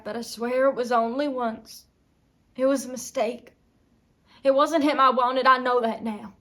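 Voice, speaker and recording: A woman's voice: medium pitch, creaky, fairly bright tone. A woman acting a desperate, tormented young farm wife in an intense dramatic film monologue. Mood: anguished, bitter. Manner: intense, swinging from whispers to anguished outbursts. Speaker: acting student.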